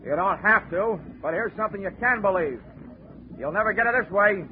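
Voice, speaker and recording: A man's voice: high voice, animated, a little fast, slight background noise. An actor playing a wry, wisecracking insurance investigator who narrates his own case in a 1940s radio drama. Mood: bargaining. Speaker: narrator and lead detective. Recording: tinny 1940s radio broadcast with music.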